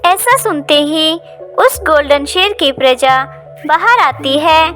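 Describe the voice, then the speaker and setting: A woman's voice: high voice, lively intonation, slow pace, a bit bright, soft background music. A woman narrating a scripted animal story for children as its storyteller.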